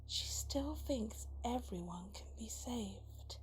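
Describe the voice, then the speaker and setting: A woman playing a cunning, vengeful female spirit of darkness in an ASMR roleplay, speaking in a breathy, taunting, deliberate and persuasive way. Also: a little high, expressive, rough recording. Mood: mocking, menacing. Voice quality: breathy whisper. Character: cunning vengeful female dark spirit, seductive punisher of the guilty.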